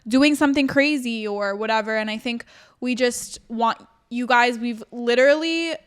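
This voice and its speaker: Smooth, higher-voiced woman co-host. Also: normal speed. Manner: earnest and warm-hearted, talking in long flowing sentences. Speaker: podcast co-host. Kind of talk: casual chat.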